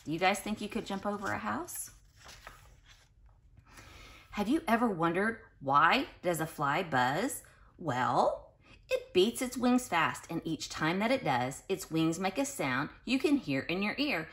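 A woman reading a children's picture book aloud in an expressive, sing-song way, speaking directly to young listeners. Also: medium pitch. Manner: pauses to explain and question listeners directly. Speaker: children's read-aloud host.

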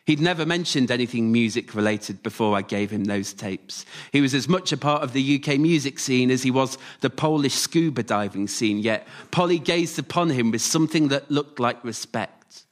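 Male storyteller in measured short phrases. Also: mid-range voice. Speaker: writer-performer, storyteller. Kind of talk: scripted autobiographical storytelling, performed live. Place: radio theatre stage, before a live audience.

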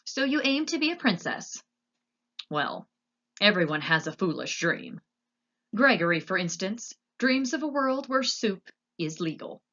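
A woman voicing a gruff, brooding old male jailer, solemn and declamatory, in a storybook reading. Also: mid-range voice, very animated, measured pace, a bit bright, lo-fi sound, pitched above the performer's own voice. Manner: speaks of himself in third person, declamatory.

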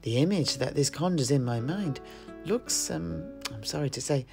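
A man's voice: on the high side, expressive, moderate pace, vocal fry, a little mellow, faint music behind. A man playing a polite, curious young apprentice. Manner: hesitant, apologetic questioning.

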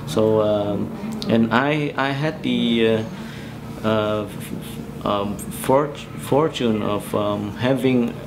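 A man in his forties from Vietnam with a Vietnamese accent, recounting memories in a halting, hesitant way as he searches for words in an interview. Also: fairly low pitch, noisy background. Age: 40s. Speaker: interviewee, musician. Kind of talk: oral history interview, personal recollection. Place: small bedroom, indoors.

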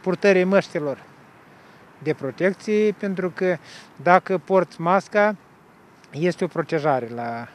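An older man in his sixties answers a street interviewer. He speaks very slowly and haltingly in a slightly high voice, outdoors on a handheld mic. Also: animated. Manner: halting, hesitant. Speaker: interviewed passer-by. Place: city park, outdoors. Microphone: handheld reporter microphone.

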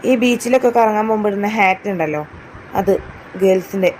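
A woman's voice: medium pitch, brisk, a little mellow, slight background noise, lightly acted, poor audio. A woman voicing a cocky, self-assured older girl in a comedy cartoon. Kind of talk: Malayalam comedy cartoon dialogue.

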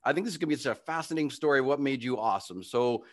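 Middle-aged male host, enthusiastic and encouraging, normal pitch, studio mic on a call. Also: animated, very fast. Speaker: podcast host. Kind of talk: casual interview talk. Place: home studio with brick wall. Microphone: studio mic on video call.

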